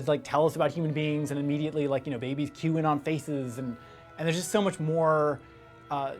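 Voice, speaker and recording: Higher-pitched man talking in halting bursts. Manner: halting, talks in bursts with frequent asides. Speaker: video game interviewee. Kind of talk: casual interview talk.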